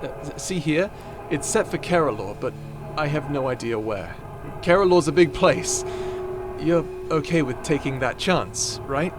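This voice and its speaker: A man playing an excitable, cheeky adventurer in an ASMR roleplay drama, animated and theatrical with a stammering, jokey delivery. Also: fairly high pitch, a little slow, background noise. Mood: nervous, eager.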